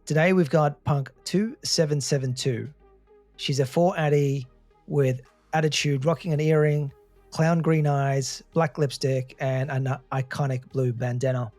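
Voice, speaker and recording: A man's voice: a little high, steady tone, a little slow, a little mellow, no echo, soft background music. Casual male podcast host, dry close sound. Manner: informal, matey, conversational. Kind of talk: upbeat intro and casual interview.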